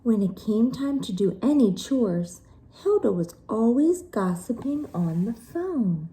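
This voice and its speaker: A woman's voice: a little high, big pitch swings, slow, dark tone, rough recording. A woman narrating a children's storybook expressively, as if reading aloud to young listeners.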